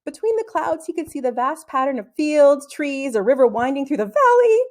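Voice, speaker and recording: A woman reading a children's book aloud as an expressive storyteller who acts out the dialogue. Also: high voice, normal speed. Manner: animated, acting out the dialogue.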